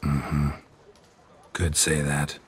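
An actor playing a gruff, middle-aged monster hunter in a video game, speaking tersely in a gravelly, understated way. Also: male voice, flat delivery, drawn-out words, full character voice. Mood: reserved. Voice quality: low, gravelly.

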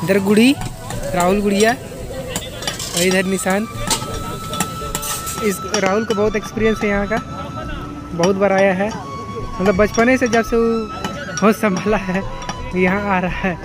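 A man's voice: sits high, fairly even intonation, measured pace, pauses often, over music. A man chatting and teasing casually with friends at an outdoor market. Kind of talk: casual chat.

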